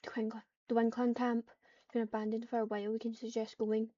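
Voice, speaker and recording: A woman's voice: on the high side, monotone, fairly quick, warm tone. A woman narrating an improvised roleplay story in third person, speaking the dialogue and tags herself and repeating phrases as she composes them. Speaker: online roleplayer. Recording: muffled lo-fi mic, noisy with typing clicks. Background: keyboard typing and clicks.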